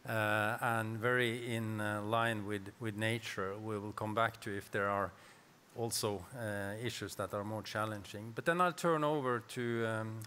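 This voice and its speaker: A man's voice: fairly low pitch, pushed and bright, clean tone. A man moderating a conference panel in a formal style.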